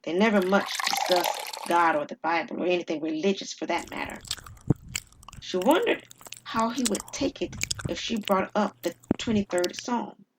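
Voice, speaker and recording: A woman storyteller reading a scripted dramatic tale with measured, suspenseful phrasing. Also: fairly low pitch, noisy background. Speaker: storyteller and performer.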